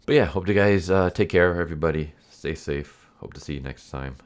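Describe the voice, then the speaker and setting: A man's voice: deep voice, fairly quick. A man with a stuffed-up, nasal voice from a head cold, giving a casual, rambling, unscripted review to his viewers. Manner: rambling, repeats and rephrases himself. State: sick with a stuffed nose and cough. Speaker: YouTube anime movie reviewer and channel host.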